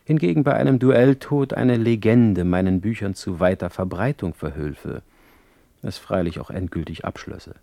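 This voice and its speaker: Casting: ailing poet narrating letters in radio drama, very low creaky voice, dry close sound, ironic. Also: male voice, warm tone, no room sound. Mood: pensive, bitter. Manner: wry, eloquent, measured.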